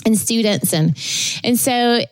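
A woman's voice: on the high side, a little slow, no room sound, poor audio. Chatty woman telling her story, dry sound. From Tennessee. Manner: chatty, rambling, laughs often, many fillers. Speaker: podcast guest. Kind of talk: casual interview storytelling.

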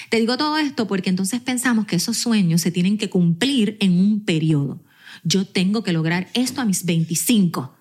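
A woman in her forties with a Puerto Rican accent, a podcast guest talking casually in an animated, emphatic, motivational way. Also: fairly high pitch. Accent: Puerto Rican Spanish. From Puerto Rico. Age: 40s. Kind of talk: casual interview chat.

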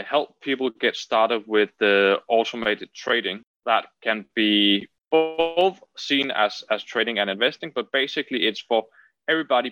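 A man with a Danish accent answering interview questions conversationally, haltingly, often repeating words. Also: medium pitch, a bit bright, no room sound, poor audio. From Denmark. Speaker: podcast guest, trading entrepreneur.

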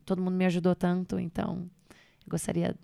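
A woman's voice: fairly low pitch, monotone, fast, gravelly, warm tone, dry recording, studio quality. A Brazilian woman, a stand-up comedian, chatting loosely in an interview with many fillers and English words mixed in. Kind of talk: casual interview chat.

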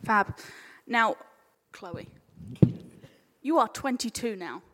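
A woman's voice: on the high side, animated, takes it slowly, sharp tone. A woman chairing a live panel in an informal, playful way. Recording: echoey hall with long reverb.

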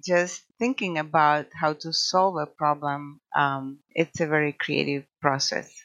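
A woman's voice: low voice, level tone, a little slow, a bit bright. A woman from Croatia with a Croatian accent, a podcast guest talking casually with many fillers and restarts. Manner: hesitant, many fillers and restarts, laughs often. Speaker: podcast guest, scientist and nature photographer. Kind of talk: casual interview talk.

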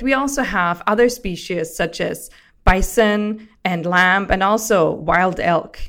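An enthusiastic woman from Austria, a founder, answers interview questions in an explanatory way. Her voice sits at a middle pitch for a woman. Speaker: company founder, guest.

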